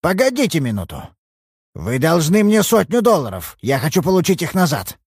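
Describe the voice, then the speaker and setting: A shrill, quavering old man, as a male narrator puts him on, self-pitying and quick to anger. The voice sits well above the reader's own and swings widely in pitch. It sounds close and dry, with no room around it. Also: huge pitch swings, a little mellow, breathy edge, no echo, exaggerated voice. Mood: hostile.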